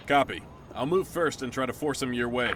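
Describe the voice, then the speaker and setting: An actor playing a gruff, blunt middle-aged dad who catches creatures, in a naturalistic audio drama with some swearing. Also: male voice, mid-range voice, a little fast, very noisy, natural voice. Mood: businesslike.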